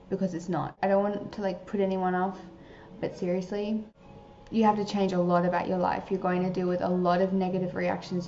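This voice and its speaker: A young woman speaks in a casual, earnest advice talk, her speech dotted with fillers. Her voice is soft and mellow. The recording sounds roomy and a little dull. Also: mid-range voice. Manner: earnest, chatty, many filler likes. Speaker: vlogger giving advice to new converts.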